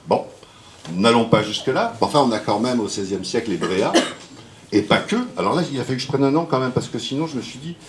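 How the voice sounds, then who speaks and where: A man's voice: mid-range voice, expressive, fast talker, a little hiss or hum. A man giving a rambling, hesitant speech to an audience at a book launch. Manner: rambling, hesitant, with jokes and praise. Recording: roomy hall with faint ambience.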